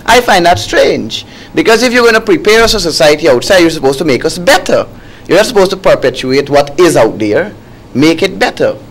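Young man, Guyanese accent, slightly high pitch, impassioned student panelist, emphatic, repetitive phrasing. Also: expressive, brisk, a bit bright, poor audio. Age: young adult. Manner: emphatic, repeats phrases for stress. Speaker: university student activist.

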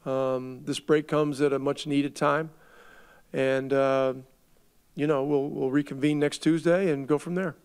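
A middle-aged man, a head coach, answering reporters at a postgame press conference in a measured way, often restarting his words as he thinks. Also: a little high, little pitch movement, a little mellow, clean tone. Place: arena press room. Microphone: table mic.

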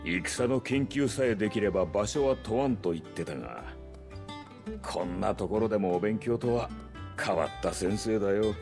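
Gruff middle-aged right-hand man in a game, low n gravelly, plain talker. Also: moderate pace, music in the background. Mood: concerned, dry. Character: gruff, dependable middle-aged male hideout steward. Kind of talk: Japanese video game cutscene acting.

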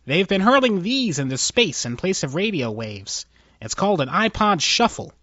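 A man puts on a nervous alien technologist for a science fiction tale. The voice is high, swooping and deferential, full of hesitation. It is recorded very dry. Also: big pitch swings, moderate pace, pushed and bright, no echo, dull audio, exaggerated voice. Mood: nervous, explaining. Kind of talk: theatrical science fiction audio story.

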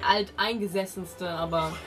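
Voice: mid-range voice, steady tone, a little slow, a bit bright, some chatter behind, close to an everyday voice. A game master playing an obliging village innkeeper who gossips in a leisurely, confiding way. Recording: music behind the voice.

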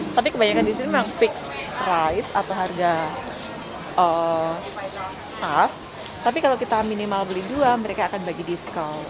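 An Indonesian-accented woman in her thirties talks to her handheld camera in a clothing mall. She is casual and playful, full of slang and little exclamations, and drops English words into her chat. Her voice sits at a middling pitch. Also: low-quality recording. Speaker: vlogger. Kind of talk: casual shopping vlog chat. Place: indoor clothing shops in a busy mall.

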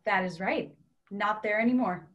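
A young woman playing a calm, matter-of-fact woman in a play reading, literal and deadpan. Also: mid-range voice, moderate pace, lo-fi sound, close to an everyday voice. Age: young adult. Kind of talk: script read-through of a one-act play. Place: indoors at home on a video call.

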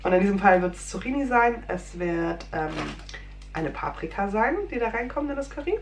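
Female, 30s, casual home vlogger, slightly unwell, rambling and filler-heavy; roomy kitchen sound, soft background hum. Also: mid-range voice, animated, normal speed. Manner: chatty, rambling, many fillers. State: a little under the weather. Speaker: food vlogger. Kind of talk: casual chat. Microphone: fixed camera at desk distance.

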